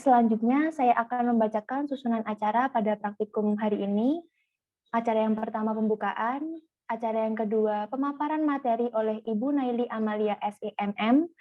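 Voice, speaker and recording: A woman's voice: a little high, steady tone, fairly quick, dry recording. A woman with an Indonesian accent, emceeing an online event formally, reading out honorifics and the agenda. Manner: formal, ceremonious, reads the agenda. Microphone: video call. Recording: dry, tinny call audio.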